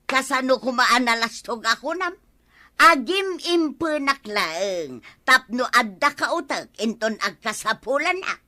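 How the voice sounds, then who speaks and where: A woman playing a sharp-tongued working wife in a comic radio drama, nagging her husband with quick retorts. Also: a little high, expressive. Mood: scolding.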